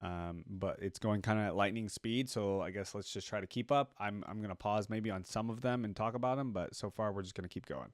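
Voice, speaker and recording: Low-voiced man, casual reaction host. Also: fairly quick, few pauses, dry recording. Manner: chatty, rambling, thinking aloud. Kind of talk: casual commentary on game voice lines.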